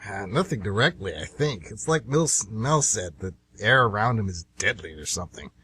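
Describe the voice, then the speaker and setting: A man's voice: lowish voice, lively intonation, normal speed, pushed and bright, husky, no room sound, exaggerated voice. A man playing a hopeful, earnest train passenger and devoted father in an amateur radio drama, somewhat hesitant in speech. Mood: shaken.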